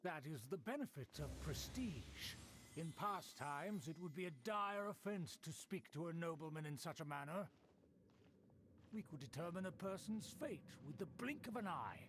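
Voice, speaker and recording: A man's voice: fairly high pitch, animated, moderate pace, a bit bright, slight background noise. An actor voicing a haughty, entitled nobleman in a video game, speaking with pompous, formal grandeur. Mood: proud. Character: haughty, entitled nobleman of a fallen clan, easily affronted.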